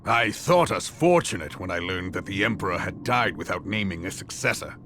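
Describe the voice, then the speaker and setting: A wary, serious man of rank speaks in a fantasy game cutscene. His voice sits fairly low and his phrasing is formal and archaic, with faint music underneath. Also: lively intonation, brisk. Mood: grave, wary. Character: grave, wary male leader in a war council.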